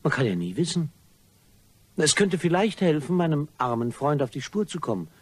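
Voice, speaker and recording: A smoothly polite, insinuating schemer in a crime radio drama. His husky voice swings widely in pitch as he probes with mock courtesy. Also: very animated, normal speed. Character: sly, insinuating gentleman blackmailer, smoothly polite. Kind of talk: German crime radio play acting.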